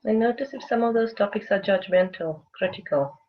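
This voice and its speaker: Meditation guide, mid-pitched, calm and unhurried, long pauses, dull lo-fi sound.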